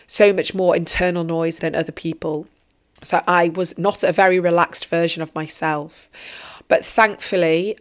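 Hoarse woman in her forties, earnest and reflective, lowish voice, podcast host. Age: 40s. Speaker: podcast host and wellbeing coach. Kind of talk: solo podcast trailer monologue.

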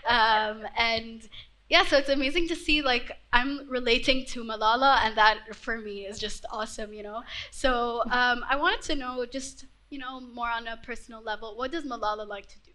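A woman's voice: a little high, normal speed, pushed and bright. A young woman interviewing her guest in a casual, eager and upbeat way. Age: young adult.

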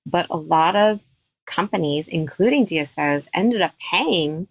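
A woman dentist speaking as a podcast guest, with a somewhat low voice. She talks in an emphatic, advice-giving way. The sound is dry and close, with no room echo. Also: expressive, unhurried. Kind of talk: podcast interview.

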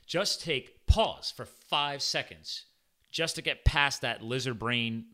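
A man in his forties with a Philadelphia-area American accent, hosting a casual podcast chat in an informal, animated way. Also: mid-range voice, slow pace. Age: 40s. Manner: animated, informal, peppered with "like" and "guys". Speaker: podcast host. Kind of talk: casual conversational podcast interview.

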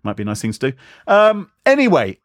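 A man's voice: a little high, wildly swooping pitch, fast talker, dry recording. Man hosting a chatty podcast, bouncy intonation, dry close mic, wry and rambling. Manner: jokey, rambling, self-mocking. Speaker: podcast host. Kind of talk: casual podcast chat.

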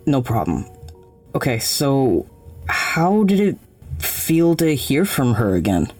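Low, mellow, caring young woman. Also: very animated, takes it slowly, faint music behind, full character voice. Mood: careful, concerned. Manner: casual, tentative, supportive. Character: caring, frank, teasing young woman friend. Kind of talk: naturalistic audio drama acting.

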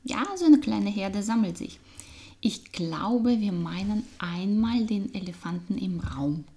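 A woman from Russia with a Russian accent, a business coach talking as a podcast guest, thoughtful and soft-spoken, sometimes dropping to near-whispers. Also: medium pitch, a little slow. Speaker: business trainer, change consultant and coach.

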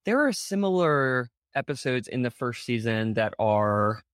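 A man co-hosting a podcast in casual chat, excitable and full of fillers, his voice jumping up when he gets outraged. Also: medium pitch, a little slow, smooth, no room sound, studio quality. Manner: many fillers and restarts. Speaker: podcast co-host. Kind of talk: casual chat about a TV episode.

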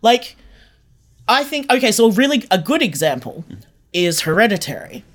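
A podcast creator with a medium-pitched voice talks casually as an interview guest. The delivery is chatty and conversational, with thoughts worked out aloud and corrected along the way. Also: sing-song, normal speed, fairly bright tone.

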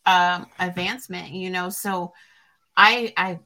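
Woman host, bright edgy tone, lowish pitch, earnest and reflective, halting with fillers. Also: fairly even intonation. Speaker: podcast host. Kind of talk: casual podcast interview.

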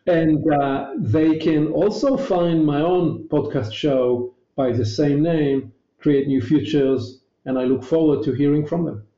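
Israeli man, dark echoey voice. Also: on the high side, a little slow, few pauses, dull audio. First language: Hebrew. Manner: earnest, emphatic, repeats words. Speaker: guest. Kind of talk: interview answers.

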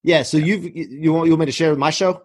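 A man hosts the conversation, eager and quick, with laughter slipping into his talk. His voice sits a little high and sounds husky, and the words come fast. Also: steady tone. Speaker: podcast host. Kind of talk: casual interview chat.

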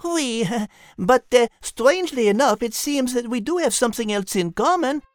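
A woman's voice: fairly high pitch, expressive, normal speed, faint music behind, lightly acted. A woman voicing a petite French cat, polite, patient and clever, in a children's audio drama with a French accent. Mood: patient, teasing.

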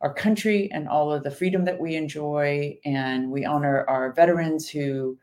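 A woman's voice: low-pitched, moderate pace, a little mellow. A woman co-hosting a faith talk show, chatting casually, reading viewer comments and leading an earnest spoken prayer. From Iran. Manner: chatty, reads viewer comments aloud, earnest when praying. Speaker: podcast co-host.

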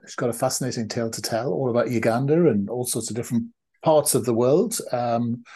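A man's voice: medium pitch, warm tone. A man hosting a podcast, reading an intro and then interviewing a guest with frequent ums and restarts. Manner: hesitant, many ums and restarts. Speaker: podcast host. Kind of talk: conversational interview.

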